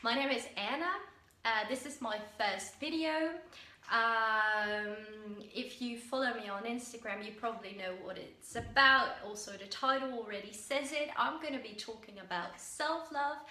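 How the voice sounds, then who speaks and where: A woman's voice: a little high, moderate pace, pushed and bright, reverberant. A young woman whose first language is Dutch, with a Dutch accent, giving an earnest, hesitant self-help talk to camera with many fillers and restarts. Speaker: vlogger.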